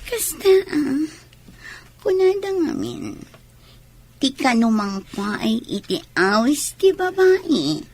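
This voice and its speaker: A seductive, scheming drinker in a radio drama. Her fairly high voice moves very slowly and swoops expressively as she coaxes and taunts, with faint music underneath. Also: sing-song, a little hiss or hum, full character voice. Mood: scheming, coaxing.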